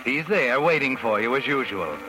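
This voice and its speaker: An actor playing a knowing, sardonic narrator who insinuates himself into an old-time radio suspense tale. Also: male voice, medium pitch, lively intonation, moderate pace, noisy background, natural voice. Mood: ominous, knowing. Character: sardonic, insinuating noir narrator addressing a scheming woman. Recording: vintage radio recording.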